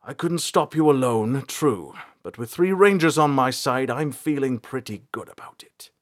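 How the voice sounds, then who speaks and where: A man voicing a gruff, protective middle-aged warrior and tavern keeper in a fantasy audiobook, blunt and dogged. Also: mid-range voice, animated, moderate pace, voice pushed up, lightly acted. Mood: defiant. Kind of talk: dramatic fantasy audiobook character.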